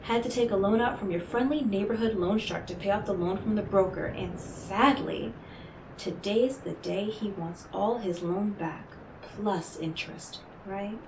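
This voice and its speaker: A woman's voice: mid-range voice, reverberant, noisy background, close to an everyday voice. A woman playing an enigmatic, devilish stranger in a roleplay audio drama, speaking coyly and theatrically to the listener with teasing condescension. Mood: mock sympathy, condescending. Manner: coy, deliberate, theatrical, talking directly to the listener.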